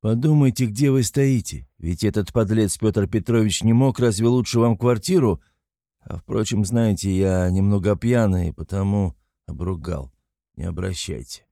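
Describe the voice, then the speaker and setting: A man's voice: fairly low pitch, moderate pace, dark tone, no room sound, studio quality, natural voice. A man voicing a tipsy, hot-headed and talkative young man in an audiobook, a loyal friend with a blustering, emphatic manner. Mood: urgent, hushed.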